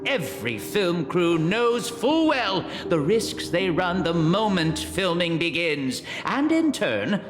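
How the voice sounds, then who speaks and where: A man's voice: high voice, sing-song, slow pace, hardly any pauses, long reverb tail, over music, full character voice. A man playing a fanatically devoted film director in a game, theatrical and declamatory. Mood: resolute, grandiose. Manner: dramatic, declamatory.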